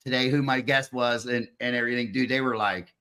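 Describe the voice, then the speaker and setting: A man hosting a live podcast, chatting casually in an excitable, rambling way on a video call. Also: medium pitch, a little fast. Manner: excitable, rambling, says "dude" and "bro". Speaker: podcast host. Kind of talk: casual chat.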